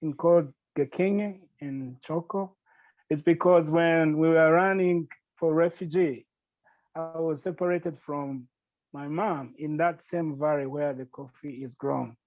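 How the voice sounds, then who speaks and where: A man from Rwanda, speaking earnestly and gratefully as a podcast guest, with halting restarts. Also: on the high side, fairly even intonation, a little mellow, no room sound, dull audio. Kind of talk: podcast interview.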